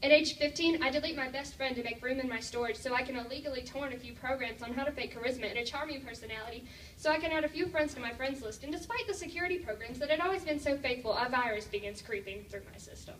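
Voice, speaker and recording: High-voiced teenage girl performing slam poetry. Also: fast, a bit bright. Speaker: slam poet. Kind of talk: dramatic spoken-word poetry performance. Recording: distant, echoey room with faint noise.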